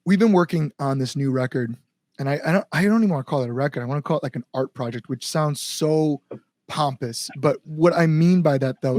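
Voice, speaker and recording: An American man chatting casually as an interview guest, telling stories in an animated, informal way. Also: on the high side, no room sound, clean studio sound. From Chicago area, United States. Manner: animated storytelling, quotes people, frequent "like", informal swearing. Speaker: band singer, interview guest. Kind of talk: casual podcast conversation.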